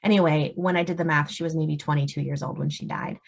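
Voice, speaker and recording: Female author guest, American, slightly low voice. Conversational storyteller who drops in acted-out asides. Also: fairly even intonation, fast, vocal fry, breathy edge, highs cut off. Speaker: guest, suspense novelist.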